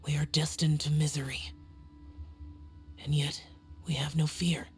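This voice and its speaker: A woman voicing a solemn, aloof young male guardian spirit in a video game, speaking in a measured, formal way. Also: low-pitched, little pitch movement, darkish tone, a little hiss or hum.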